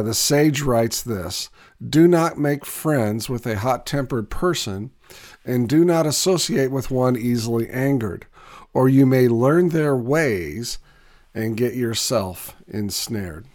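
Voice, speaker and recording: A man with a raspy, hoarse voice from a recent cold, teaching a conversational video lesson on Bible passages for business owners, with frequent fillers and restarts. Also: mid-range voice, darkish tone.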